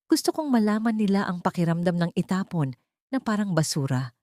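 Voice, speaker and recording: A woman's voice: lowish voice, big pitch swings, a little fast, no room sound, clean studio sound. A woman playing a steely young heiress bent on revenge in a dramatic audio story, speaking directly and without wavering. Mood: vengeful. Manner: sharp, direct, unwavering.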